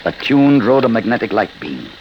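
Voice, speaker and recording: An actor playing a grave, driven, weary older inventor in an old radio drama, terse and brooding. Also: male voice, lowish voice, sing-song, moderate pace, dull audio. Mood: intense, driven. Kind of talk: 1950s radio drama acting. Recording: noisy old radio recording.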